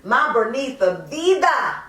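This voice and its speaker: A woman performing a dramatic monologue poem as a troubled, self-justifying mother, colloquial, drawn-out and confessional. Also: a little high, sing-song, fairly bright tone, full character voice. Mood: pleading, self-justifying. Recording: echoey room, distant mic.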